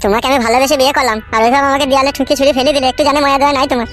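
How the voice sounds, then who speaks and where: Whiny, high-voiced henpecked cartoon husband. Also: female voice, fairly even intonation, very fast, a bit bright. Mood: whining, scared. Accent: rural West Bengal. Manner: whining, complaining, coarse-tongued. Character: henpecked, whining, foul-mouthed young husband. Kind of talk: comedy cartoon dialogue.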